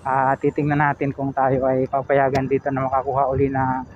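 A man's voice: mid-range voice, monotone, brisk, highs cut off, slight background noise. A man with a Filipino accent vlogging outdoors while traveling a road, talking chattily and politely, with many fillers and tag questions. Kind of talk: casual road vlog narration.

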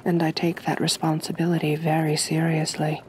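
A woman voicing a gentle, possessive aristocratic vampire mistress in an ASMR roleplay, soft-spoken, formal and soothing. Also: sits low, monotone, normal speed, slight background noise, close to an everyday voice, poor audio. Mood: composed.

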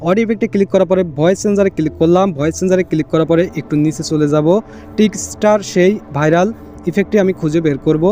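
A high-voiced man talks quickly through a phone app tutorial. His tone is on the mellow side, and he explains each step casually, repeating it and mixing in English catchwords. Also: soft background music. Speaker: tech tutorial host. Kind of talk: casual screen-recorded how-to narration.

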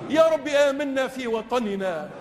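A man's voice: extremely high pitch, expressive, moderate pace, some chatter behind. A man leading a congregational supplication as imam, pleading with passion in chanted, repeated phrases. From Tunisia. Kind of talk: Islamic supplication prayer in classical Arabic, chanted oratory. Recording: long echoing reverb of large space.

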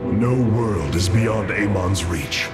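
An actor playing a noble, solemn alien warrior leader in a video game, speaking formally and gravely. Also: male voice, on the low side, expressive, slow pace, loud music behind the voice. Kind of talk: video game cutscene acting.

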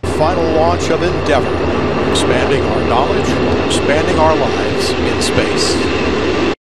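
A man announces a launch formally and slowly. His voice is slightly high and somewhat distant, nearly drowned in a very loud engine roar. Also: heavy background noise.

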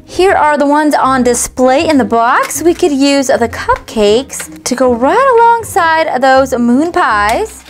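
A woman's voice: high-pitched, lively intonation, takes it slowly, hardly any pauses, soft background music. A woman narrating a toy unboxing in a cheerful, sing-song voice-over, naming each item as she stocks a miniature store.

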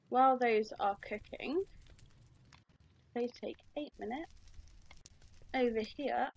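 Female, slightly high pitch, casual step-by-step tutorial read. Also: slight background noise, rough recording. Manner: chatty, explains step by step with fillers. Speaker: game tutorial presenter. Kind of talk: casual screen-recorded tutorial.

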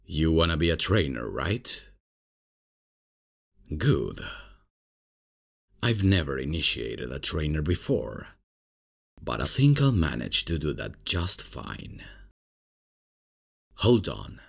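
A man playing a cocky, casual, unprofessional substitute professor in an ASMR comedy roleplay, with a husky, gravelly voice and a hesitant, improvising way of talking. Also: a bass voice, sing-song, slow pace, long pauses, exaggerated voice. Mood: casual, friendly. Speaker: roleplay performer.

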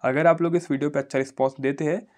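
A man's voice: on the high side, fairly even intonation, fast, darkish tone. A man giving a casual phone tutorial voice-over, repeating himself and often checking in with listeners. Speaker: tech YouTuber.

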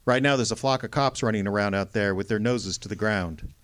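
A world-weary private detective from an old-time radio drama, played by a male actor. His voice sits low. He talks bluntly, with dry, sardonic wit, like someone who believes very little he is told. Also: brisk, fairly bright tone, close to an everyday voice.

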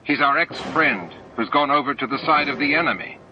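A man's voice: medium pitch, expressive, fairly quick, background noise, pitched above the performer's own voice, lightly acted. Man voicing a sneering, angry alien radical, muffled tape. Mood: sulky. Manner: snorting, sulky, snarling, contemptuous. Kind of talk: dramatized audiobook reading. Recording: dull lo-fi audiobook tape with music.

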